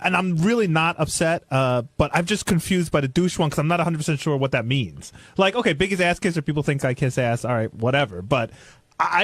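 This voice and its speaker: A man who works on a radio show, talking casually off the cuff in a halting, self-deprecating way. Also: fairly high pitch, no echo. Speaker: radio show staff member. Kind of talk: casual unscripted talk.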